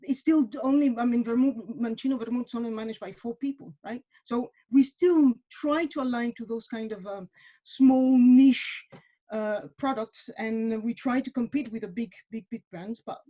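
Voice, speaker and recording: A woman's voice: on the high side, moderate pace, dark tone, dull audio. A woman in her forties from Mexico, talking casually as a webinar guest, with many fillers and repeated words. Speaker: webinar guest, wine industry professional.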